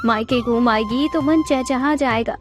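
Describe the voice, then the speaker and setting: Casting: female storyteller, high voice, scripted narration, faint music bed. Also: measured pace.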